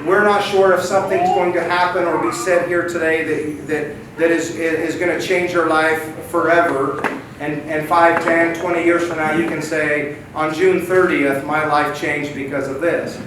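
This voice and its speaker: A man introducing a church lesson, earnest and halting, often repeating his words. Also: a little high, level tone. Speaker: bishopric member leading a church lesson. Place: church meeting room. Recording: echoey hall, distant mic, noisy.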